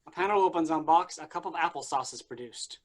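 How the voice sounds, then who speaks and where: Casting: male stage-directions reader, fairly high voice, scripted narration. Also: quick pace.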